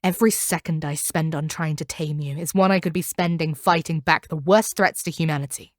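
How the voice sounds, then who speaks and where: A woman playing a proud, ruthless monster hunter in a scripted audio roleplay, speaking in a haughty, stern and deliberate way. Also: deep voice, steady tone, no echo, clean studio sound, natural voice. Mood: grave, disdainful.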